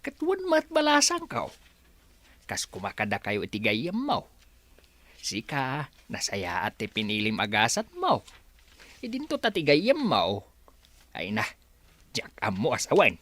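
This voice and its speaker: A man's voice: medium pitch, huge pitch swings, a little slow, pauses often, fairly bright tone, dry recording, full character voice. A man playing a stern, bitter elderly village father in an Ilocano radio drama, with a Filipino Ilocano accent. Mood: resigned, moralizing.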